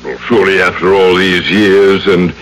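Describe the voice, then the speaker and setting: An actor playing a proud, stern gentleman in an old-time radio drama, with formal, theatrical speech. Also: low-pitched, steady tone, moderate pace. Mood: smooth, pompous. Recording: noisy old radio recording.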